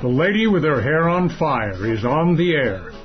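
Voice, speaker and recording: A man's voice: on the high side, sing-song, moderate pace. A man announcing a promotional intro for a radio show. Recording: dull radio audio over loud music. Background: loud music.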